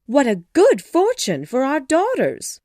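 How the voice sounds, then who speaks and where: Woman performing a fussy middle-aged wife, high swooping pitch, impatient, exclamatory, easily flustered, storybook dialogue. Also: very animated, unhurried, darkish tone, no room sound, clean studio sound. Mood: excited.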